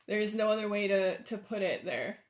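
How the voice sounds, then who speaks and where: A hoarse, tired-sounding woman hosting a casual livestream, rambling and thinking aloud as she sets up. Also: medium pitch. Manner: rambling, self-deprecating, thinks aloud while sorting pieces. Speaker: livestream host. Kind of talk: casual livestream chat while setting up a build.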